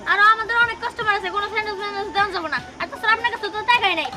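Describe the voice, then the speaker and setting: Bossy little stall assistant, played by a woman, piercingly high, breakneck delivery. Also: racing, crowd noise. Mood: scolding. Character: scolding, squeaky young food-stall helper. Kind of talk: Bengali web comedy drama acting. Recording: music under the voice.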